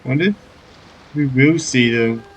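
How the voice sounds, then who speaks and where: A man giving casual, excitable commentary while playing through a video game story quest. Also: mid-range voice, a little slow, a little hiss or hum, rough recording. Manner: excitable, reacting aloud to the game. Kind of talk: casual let's-play commentary.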